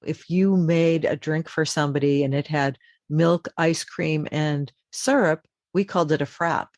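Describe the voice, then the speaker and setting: A woman from Boston, a voice actor, chatting as an interview guest with quick, animated restarts. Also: low voice, no echo. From Boston, United States. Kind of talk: conversational interview.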